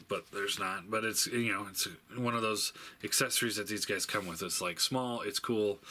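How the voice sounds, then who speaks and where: Man, lowish pitch, very crisp forward tone, halting off-the-cuff reviewer, opinionated, faint background noise. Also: bright, forceful tone. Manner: hesitant, halting, thinking aloud. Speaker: toy reviewer. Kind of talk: casual unscripted product review.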